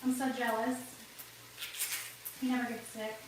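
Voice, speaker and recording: A rambling woman talks through her cooking, laughing often and sniffling with a runny nose. Her somewhat high voice is caught from a distance in a very echoey home kitchen, with clear background noise behind her. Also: little pitch movement, a little slow. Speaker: cooking livestream host. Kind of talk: casual cooking livestream chat.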